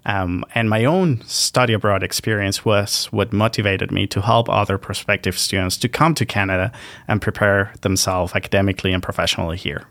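A man from Venezuela working as a university recruiter, answering questions in a polite, measured way. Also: on the low side, steady tone, fairly bright tone, no echo. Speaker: international recruitment officer. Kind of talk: guest answering interview questions.

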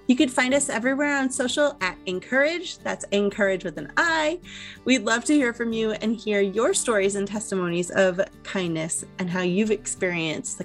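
Enthusiastic woman hosting, faint music behind. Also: mid-range voice. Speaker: podcast host. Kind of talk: conversational podcast hosting.

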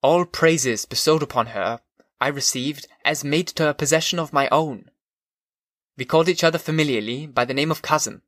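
A man voicing an earnest gentleman with a put-on German accent, recounting his childhood in formal, measured audiobook narration of a classic novel. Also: on the high side, dry recording, clean studio sound, lightly acted. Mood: earnest, devoted.

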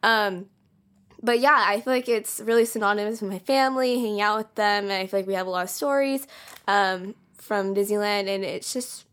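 A woman's voice: medium pitch, normal speed, a bit bright, clear, resonant tone. Bubbly young woman co-hosting a podcast. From California. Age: young adult. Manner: bubbly, enthusiastic, frequent "like" and laughs. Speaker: podcast co-host. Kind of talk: casual podcast chat.

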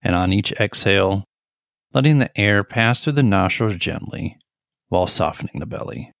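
A middle-aged man leading a guided meditation in a gentle, deliberate manner with long pauses. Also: lowish voice, expressive, creaky, no room sound, studio quality.

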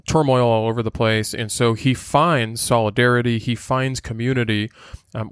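Man, Portuguese first language, mid-pitched, earnest lecturing podcast host, dry close-mic sound. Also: no echo.

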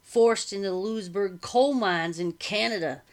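A woman's voice: mid-range voice, a little slow, lightly acted. A woman voicing an angry, bitter working man in a storybook reading, emphatic and stretching out key words.

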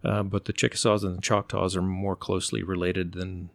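A middle-aged man from the United States, telling stories in an unhurried, thoughtful way and pausing to find his words. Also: low voice, level tone, creaky, no room sound. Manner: unhurried, thoughtful, often pauses to search for words. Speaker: interview guest. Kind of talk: relaxed conversational storytelling.

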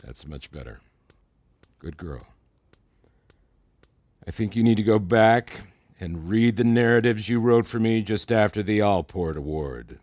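An actor playing a controlling, self-assured therapist in a fiction podcast, with a patronizing, deliberate, needling manner. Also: male voice, lowish voice, lively intonation, a little mellow, dry recording, clean studio sound. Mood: cold, controlling.

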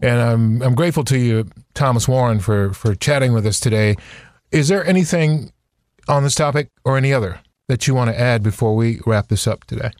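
A man hosting a podcast who thanks a guest and reads out contact details, in deliberate host phrasing. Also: medium pitch, darkish tone, dry recording, clean studio sound.

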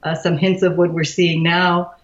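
A woman in her sixties from Iran, a guest in an interview, thoughtful and pausing with many fillers and restarts. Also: fairly low pitch, level tone, reverberant, highs cut off. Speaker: guest, leadership expert. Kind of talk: casual interview.

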